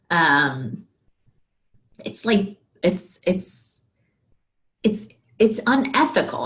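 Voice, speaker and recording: A woman lecturing in a conversational, explanatory way, thinking aloud and often checking in with rhetorical questions. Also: mid-range voice. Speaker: university lecturer.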